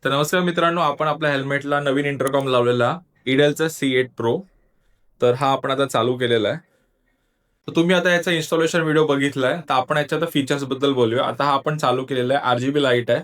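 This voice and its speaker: A man's voice: mid-range voice, steady tone, brisk, a bit bright. A man giving a casual product review, explaining step by step and talking straight to viewers. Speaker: motovlogger reviewing gear.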